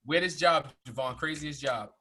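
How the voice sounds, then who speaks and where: A man's voice: a little high, moderate pace, a crowd in the background. A man hosting a comedy panel, upbeat and prompting the guests with questions. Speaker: panel host.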